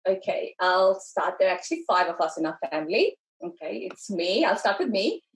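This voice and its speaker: A woman's voice: mid-range voice, big pitch swings, brisk, rough recording. A woman in her thirties, originally from India, chatting as a podcast guest in a loose, rambling way with frequent ums and restarts. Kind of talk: casual interview chat.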